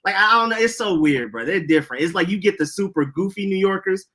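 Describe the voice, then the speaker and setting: A man's voice: sits high, expressive, fast, bright, forceful tone. A young man with an Atlanta accent, co-hosting a podcast and telling loose, slangy stories in casual chat. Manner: loose, rambling storytelling with slang. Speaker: podcast co-host.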